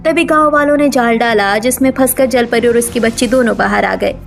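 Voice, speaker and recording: High, creaky-voiced woman storyteller. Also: brisk, faint music behind. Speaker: story narrator. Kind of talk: scripted cartoon storytelling narration.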